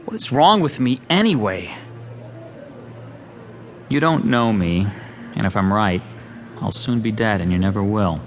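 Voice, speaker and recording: An actor playing an obsessed, lonely, self-doubting amateur artist in an audio drama, speaking earnestly and intensely. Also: male voice, lowish voice, expressive, measured pace, long pauses, a little hiss or hum. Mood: brooding, uneasy. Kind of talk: dramatized audio drama, first-person narration and dialogue.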